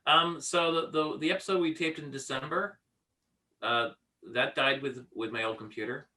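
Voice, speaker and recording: A man with a bright, forward voice talks casually as an interview guest. He sounds a little distant from the microphone. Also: on the high side, steady tone, normal speed. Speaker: cast member guest.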